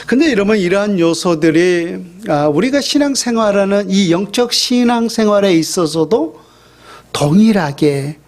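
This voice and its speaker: A middle-aged man, a pastor, preaching a sermon in a conversational way, with fillers and slow, stressed phrases. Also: high voice, darkish tone.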